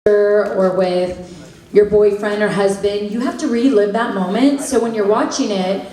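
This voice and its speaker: A woman's voice: medium pitch, warm tone, recorded from a distance. Bubbly woman in an echoey hall. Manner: bubbly, laughs often, quick asides. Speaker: panelist answering fan questions. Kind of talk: casual convention Q&A. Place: large convention hall. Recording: echoey hall, heard from afar.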